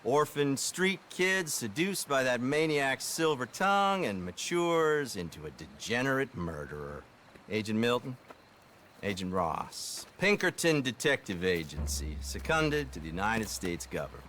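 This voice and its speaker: A sharp, smug, menacing lawman. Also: medium pitch, big pitch swings, slight background noise. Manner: formal, pompous, deliberate emphasis. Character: smug, menacing, self-righteous Pinkerton detective agent. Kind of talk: cinematic Western video game acting.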